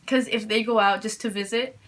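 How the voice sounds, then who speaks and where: A woman's voice: mid-range voice, steady tone, a little fast, fairly bright tone. A teenage girl from New York answering interview questions casually, hesitant, with many fillers and restarts. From New York, USA. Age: teens.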